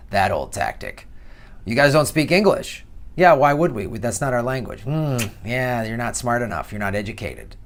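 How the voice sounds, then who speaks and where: A man with a Midwestern accent giving a casual, sarcastic commentary on history as he reads from an article. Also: mid-range voice. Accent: Midwestern American. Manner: chatty, sarcastic asides with laughs. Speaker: comedian and political commentator. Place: outdoors in Hawaii.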